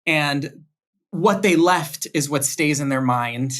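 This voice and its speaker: A man's voice: a little high, slow pace. A young man from Miami, a podcast guest, talking through his background in a thoughtful way and often restarting his sentences. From Miami, United States. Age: young adult. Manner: thoughtful, with frequent restarts and repeated words. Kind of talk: conversational interview.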